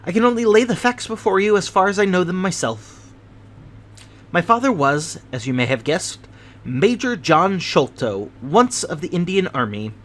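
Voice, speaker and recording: A man voicing a fussy, nervous older gentleman with a prim, precious way of talking. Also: sits high, sing-song, normal speed. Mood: anxious. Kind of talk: audiobook character voice.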